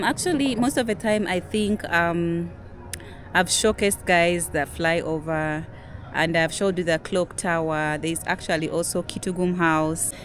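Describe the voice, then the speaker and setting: A young Ugandan woman with a Ugandan English accent, hosting a casual vlog, chatty and leaning on "guys" a lot, with soft music behind her. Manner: chatty, says "guys" constantly, drops to a low murmur on it. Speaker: vlogger. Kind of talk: casual talking-to-camera vlog.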